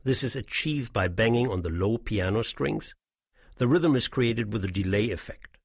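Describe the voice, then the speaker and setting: A man reading a scripted documentary narration in a measured, explanatory way, as the guide of an audio tour. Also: lowish voice, expressive, vocal fry, slightly rough, no echo, studio quality.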